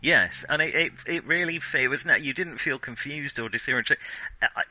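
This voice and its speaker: A man's voice: fairly high pitch, normal speed, bright, forceful tone, no echo, rough recording. A man co-hosting a casual film discussion, thinking aloud in a hesitant way. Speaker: podcast co-host.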